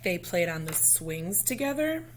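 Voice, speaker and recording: A woman reading a children's picture book aloud expressively, in measured phrases with pauses, as a storyteller speaking to young listeners. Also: on the low side, big pitch swings, slight background noise.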